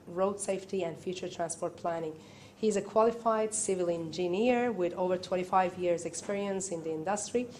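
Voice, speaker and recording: A woman host who is losing her voice, reading a formal introduction of the speaker. She pauses and slips now and then. Her voice sits a little low for a woman, with faint ambience behind it. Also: a little mellow, a little hiss or hum. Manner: reads the introduction with hesitant fillers and small slips.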